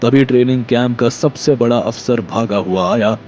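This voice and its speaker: A man's voice: medium pitch, moderate pace, darkish tone, dull audio, faint music behind. A man narrating a scripted audio drama in a dramatic storytelling style.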